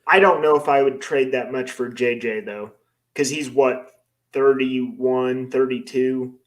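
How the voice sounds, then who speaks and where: A man co-hosting casual sports talk, skeptical and measured. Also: mid-range voice, fairly even intonation. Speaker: co-host.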